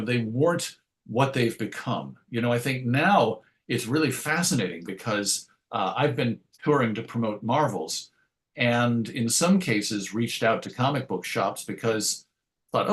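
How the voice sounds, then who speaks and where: A middle-aged man answering interview questions in a conversational way, reminiscing with wry, self-deprecating humor. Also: medium pitch. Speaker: interview guest.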